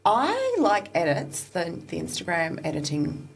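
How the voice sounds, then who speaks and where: A woman hosting a podcast interview, chatty and friendly, asking quick questions and chiming in often. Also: lowish voice, wildly swooping pitch, creaky, slight background noise. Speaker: podcast host. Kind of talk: casual interview chat.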